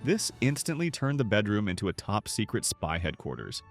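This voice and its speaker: Man, low pitch, animated intonation, scripted nostalgic narration, faint music behind. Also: moderate pace.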